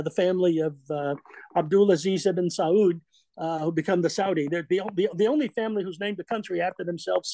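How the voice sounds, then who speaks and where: A man with a high yet mellow voice lectures on history without a script. He stumbles through frequent filler sounds and restarts, stops to make chatty asides, and the sound is dry and close. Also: vocal fry, no room sound, rough recording. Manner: discursive, conversational asides. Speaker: guest speaker at a history association meeting.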